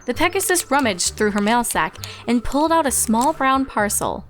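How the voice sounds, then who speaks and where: A woman's voice: on the high side, animated, moderate pace. A woman reading fan fiction aloud as scripted storybook narration, with music behind.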